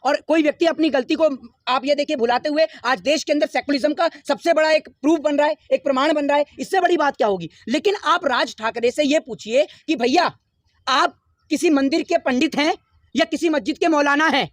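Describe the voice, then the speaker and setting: A man's voice: very high voice, a little fast, fairly bright tone, dry recording, studio quality. A man giving impassioned political arguments in an interview, lecturing with pointed rhetorical questions.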